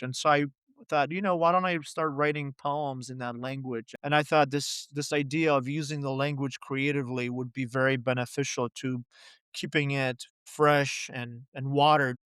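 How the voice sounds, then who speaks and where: A man's voice: mid-range voice, fairly bright tone, smooth, no room sound, clean studio sound. A middle-aged man from Poland, a poet and translator, answering interview questions in a reflective way with many "you know" and "right?" asides.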